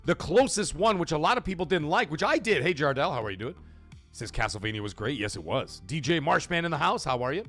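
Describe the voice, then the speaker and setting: A man hosting a casual gaming live stream, rambling excitedly with fillers and restarts, greeting chat viewers and swearing casually. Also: on the high side, quick pace, soft background music. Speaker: livestream podcast host.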